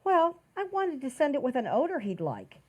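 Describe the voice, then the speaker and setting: A woman's voice: sits high, huge pitch swings, moderate pace, darkish tone. A woman playing a bashful, sentimental household maid in 1940s radio comedy, hesitant and dreamy in manner. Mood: nervous, eager.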